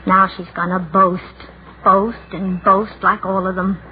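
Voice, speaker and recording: A narrow, noisy vintage radio recording carries an actress as a shy, lonely woman of about thirty. She is brittle and anxious, quick to judge, acting in a forties radio-drama manner. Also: mid-range voice, flat delivery, natural voice. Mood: bitter, fretful. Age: 30s.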